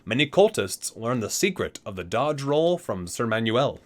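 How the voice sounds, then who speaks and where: Man reading aloud with swooping pitch. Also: sing-song, moderate pace. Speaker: host of a gaming ASMR unboxing video. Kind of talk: ASMR reading aloud with casual asides.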